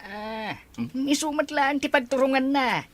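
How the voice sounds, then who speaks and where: An actor playing an elderly father in an Ilocano drama, probing yet amiable, with a drawn-out, questioning manner. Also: high-pitched, a little hiss or hum. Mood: curious, amiable. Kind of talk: Ilocano radio-drama style acting.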